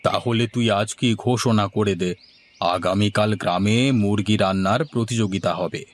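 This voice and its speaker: A man's voice: on the low side, fairly even intonation, moderate pace, slight background noise, natural voice. A man playing a food-loving, ponderous village headman in a cartoon. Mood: self-satisfied. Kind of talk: scripted cartoon dialogue.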